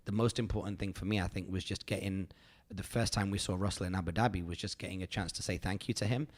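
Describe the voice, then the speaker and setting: Podcast host, man in his thirties, low voice, loose rambling delivery with lots of fillers. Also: steady tone, fast. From United Kingdom. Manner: rambling, filler-heavy, earnest and grateful. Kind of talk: casual reflective chat.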